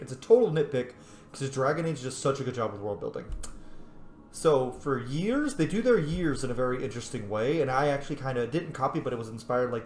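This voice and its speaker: A man's voice: mid-range voice, slight background noise. A man hosting a game playthrough with informal, opinionated roleplay commentary. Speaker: gaming host. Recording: roomy echo, game music behind.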